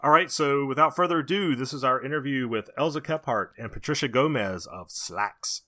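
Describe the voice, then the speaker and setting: A man's voice: medium pitch, a little fast, bright, forceful tone. A man co-hosting a podcast in a casual, chatty style. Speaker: podcast co-host.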